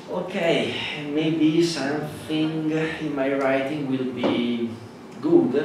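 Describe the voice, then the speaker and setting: A man with an Italian accent, a native Italian speaker, giving a classroom lecture in a halting way with many fillers and restarts. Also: mid-range voice. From Italy. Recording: echoey hall from far off with noise.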